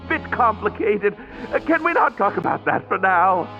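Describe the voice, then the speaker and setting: Shy polite young dude in a box, way high and a bit gravelly, muffled. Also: male voice, big pitch swings, normal speed, soft background music, exaggerated voice. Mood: hesitant. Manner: polite, hesitant, apologetic.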